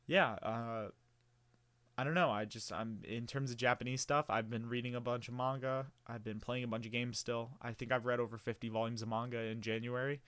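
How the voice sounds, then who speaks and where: A man's voice: mid-range voice, a bit bright, dry recording. A man in his early twenties talking casually to camera in a meandering, rambling way, with many fillers and restarts. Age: early 20s. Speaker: vlogger.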